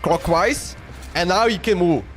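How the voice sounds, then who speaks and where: High-voiced man casually streaming a game. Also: a little slow. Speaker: livestreamer playing a video game. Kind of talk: casual gaming commentary, thinking aloud. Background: game music.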